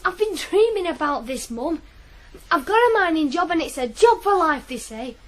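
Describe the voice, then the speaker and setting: An earnest young lad, in a working-class radio play. The voice is very high and boyish, polite and deferential to elders, yet full of eagerness. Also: female voice, sing-song, normal speed. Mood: excited. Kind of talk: 1980s radio drama acting.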